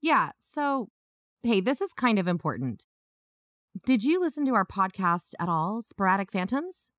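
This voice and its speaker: A woman's voice: medium pitch, sing-song, normal speed, a bit bright, dry recording, studio quality. A woman playing an earnest young amateur investigator and podcaster, probing in a natural, matter-of-fact way. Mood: concerned, probing. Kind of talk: audio drama narration and natural phone dialogue.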